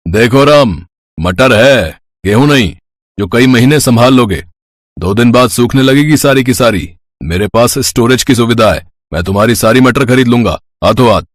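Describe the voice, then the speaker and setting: A man's voice: medium pitch, expressive, moderate pace, no room sound, close to an everyday voice. A man puts on a greedy small-time politician from a cartoon fable. He is pushy and scornful, lording it over poor villagers in a dry, close recording. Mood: oily, pushy. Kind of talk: moral-story cartoon villain dialogue.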